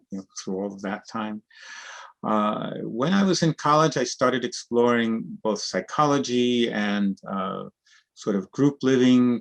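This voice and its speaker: Gravelly-voiced man in his sixties. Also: fairly low pitch. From Cuba. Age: 60s. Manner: reflective, earnest storytelling. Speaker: guest, cooperative organizer and trainer. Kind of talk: conversational interview.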